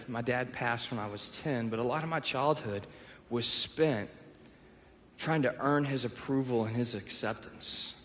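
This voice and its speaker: A young male pastor from Texas with a Texan accent, preaching a sermon and telling personal stories with self-deprecating humor. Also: mid-range voice. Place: church auditorium. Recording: long reverb of a large hall.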